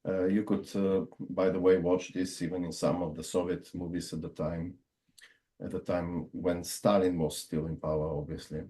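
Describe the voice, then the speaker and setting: A man from Bulgaria with a Bulgarian accent, interviewing a guest in a measured, deliberate way. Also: low-pitched, level tone, warm tone. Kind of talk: interview discussion.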